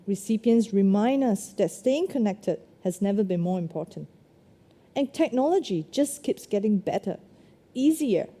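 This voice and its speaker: A woman's voice: mid-range voice, mellow. A woman giving a scripted promotional presentation as a company presenter. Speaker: company presenter at product launch.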